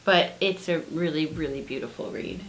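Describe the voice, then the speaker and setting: A woman's voice: deep voice, normal speed, low-quality recording. A woman co-hosting a book vlog, explaining her recommendations in a casual, earnest and appreciative way.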